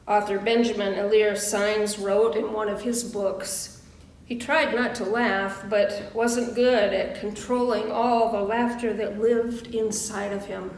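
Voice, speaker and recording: A woman minister giving a sermon and prayer in measured, deliberate short phrases with pauses. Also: medium pitch, flat delivery, darkish tone. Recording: roomy echo, distant microphone.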